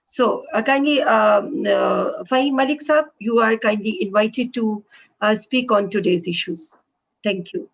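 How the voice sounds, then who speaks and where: A woman's voice: mid-range voice, measured pace, creaky, low-quality recording. A woman moderating an online meeting over a video call, polite and full of hesitations. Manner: polite, many hesitations and fillers.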